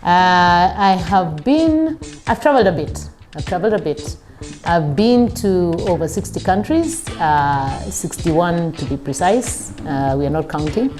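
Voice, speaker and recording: A middle-aged Kenyan woman, a travel coach, talking casually in an interview with thoughtful pauses. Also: fairly low pitch, animated, music in the background. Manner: thoughtful, with many pauses and fillers.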